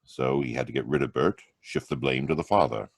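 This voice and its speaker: Deep dramatic radio hero, swoopy delivery, dry close mic. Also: male voice, brisk, no echo. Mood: explaining. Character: confident male crime-solving hero, mocking. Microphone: home mic over video call.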